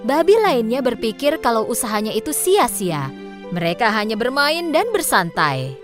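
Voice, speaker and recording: A woman's voice: fairly high pitch, big pitch swings, moderate pace, over music. A woman narrating a scripted children's story as the storyteller.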